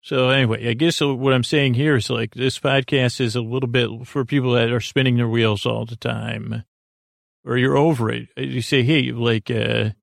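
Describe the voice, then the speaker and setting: Creaky-voiced man rambling softly for bedtime. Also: mid-range voice, fairly even intonation, no room sound, studio quality. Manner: meandering, halting, trailing off mid-thought. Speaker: podcast host. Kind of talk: rambling bedtime monologue to help listeners sleep.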